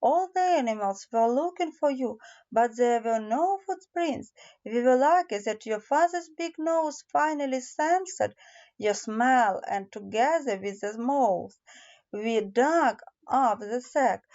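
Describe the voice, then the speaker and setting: A caring storybook animal mother, read by a woman, talks in a tender, reassuring way. Her voice is fairly high and mellow, slow in pace. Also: lively intonation, muffled recording.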